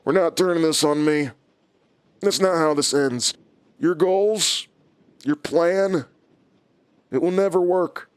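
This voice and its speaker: An actor playing a calm, menacing inhuman villain in an audio drama, with a deliberate, taunting manner. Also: male voice, on the high side, smooth, no room sound. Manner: slow, deliberate, controlled, taunting.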